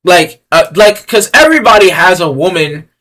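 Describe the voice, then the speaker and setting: A man's voice: very animated, moderate pace. A young man giving casual commentary as he ranks items on a tier list. He talks in a crude, blunt, slangy way, reading each item aloud and then riffing on it. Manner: swings from low mutters to loud yelling.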